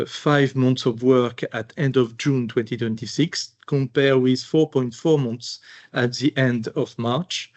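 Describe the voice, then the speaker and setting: A man's voice: medium pitch, darkish tone. A man reading a scripted financial presentation in a formal way, as a chief financial officer.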